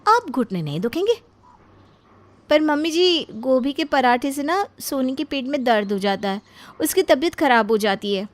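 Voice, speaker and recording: A woman playing a bitter, jealous young wife and mother in an animated moral story, scolding and sarcastic. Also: sits high, moderate pace. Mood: resentful.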